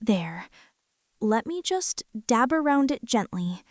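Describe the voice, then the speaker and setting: A woman playing a gentle, nervous mother in a soft-spoken ASMR roleplay, tender, hushed and hesitant as she reassures and fusses. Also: on the high side, sing-song, takes it slowly, dry recording, studio quality. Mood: soothing. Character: gentle, nervous mother fussing over a hurt boy.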